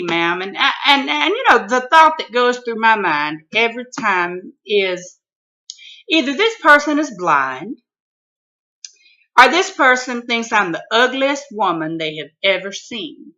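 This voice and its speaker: A high-pitched man with a Mississippi Southern accent spins a comic story, his voice bright and swooping up and down in lively arcs. He talks in a folksy, exclaiming way. The audio is dull and lo-fi. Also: a little slow. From Mississippi, USA. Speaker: vlogger.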